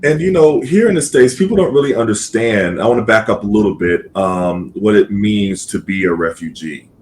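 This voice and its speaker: Male podcast host, lowish voice, earnest, rambling questions. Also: normal speed, a little hiss or hum. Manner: earnest, lots of fillers and restarts. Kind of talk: interview questions.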